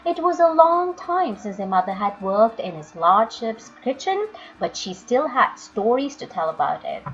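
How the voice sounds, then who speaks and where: A woman storyteller reading a children's book aloud with expressive, dramatic, emphatic phrasing. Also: fairly high pitch, moderate pace. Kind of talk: expressive children's audiobook narration. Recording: dull lo-fi audio, music underneath.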